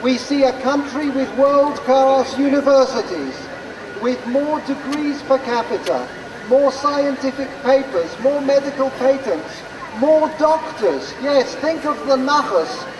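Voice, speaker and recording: High-pitched rally speaker, slow oratory, distant reverberant PA. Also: animated, crowd noise. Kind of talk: public speech. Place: large outdoor square. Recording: distant PA speech with hall-like reverb.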